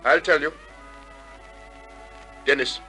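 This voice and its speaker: A man's voice: a little high, slow, bright, forceful tone. An actor playing a cool, cooperative gunman in a 1950s radio crime drama. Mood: cool, compliant. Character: cool, cooperative male gunman, protective brother. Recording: old radio drama recording with music.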